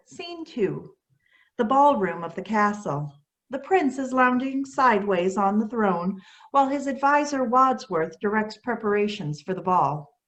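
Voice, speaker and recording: A woman narrator reading scripted stage directions for a play. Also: on the high side.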